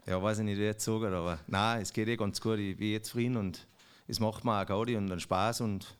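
Casting: male dialect poet with a Salzburg Pinzgau accent, lowish voice, rambling, halting speech. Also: steady tone, clean tone. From Austria. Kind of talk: radio interview.

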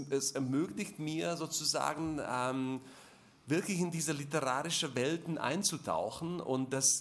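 A man in his late forties whose first language is Slovak, speaking at an author panel. He talks haltingly, full of fillers and restarts, in a voice of ordinary pitch. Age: 40s. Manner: hesitant, many fillers and restarts. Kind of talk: panel discussion at a reading.

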